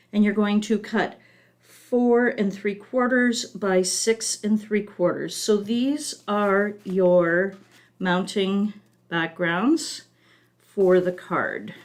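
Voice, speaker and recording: A woman leads an online card-making lesson, moving through each step slowly and methodically with small fillers along the way. Her voice is still recovering from a long illness. She speaks at a middle pitch. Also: darkish tone. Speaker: craft class instructor.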